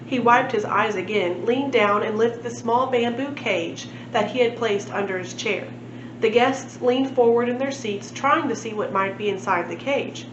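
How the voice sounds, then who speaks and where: Woman doing library storytime narration, fairly high voice, echoey and kinda muffled w/ noise. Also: normal speed. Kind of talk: read-aloud storybook narration. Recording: echoey room, muffled, clear background noise.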